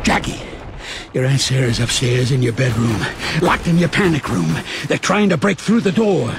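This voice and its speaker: A man playing an urgent mob henchman with a gravelly voice in a video game. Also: medium pitch, expressive, normal speed. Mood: urgent alarm. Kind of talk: dramatic video game acting. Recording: clear noise behind the voice.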